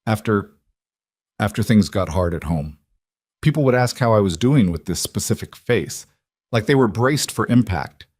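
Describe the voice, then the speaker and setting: A man voicing a gentle, plainspoken, steady single dad in a narrated story. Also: lowish voice, moderate pace, no room sound. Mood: quiet, sincere.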